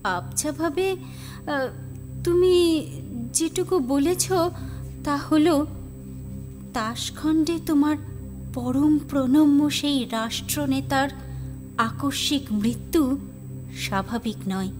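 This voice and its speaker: A woman playing a composed, curious confidante in an audio drama, speaking in a measured, deliberate, dramatic way. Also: high voice, darkish tone, soft background music. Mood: curious, probing.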